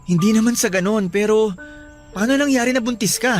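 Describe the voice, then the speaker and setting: A man playing a gentle, puzzled, devoted young boyfriend in a Filipino radio drama, coaxing and hesitant. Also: sits high, a little fast, faint music behind, close to an everyday voice. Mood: stunned, hesitant.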